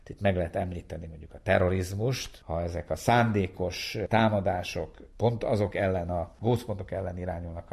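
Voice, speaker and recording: A man's voice: low voice, creaky, darkish tone, slightly rough. A man giving an expert spoken explanation in an explanatory manner, stressing key words. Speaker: scientist explaining network research.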